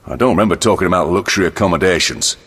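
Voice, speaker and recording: A man playing a gruff, no-nonsense jail captain in a video game, brusque and commanding. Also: deep voice, rapid-fire, a bit bright, in a large hall.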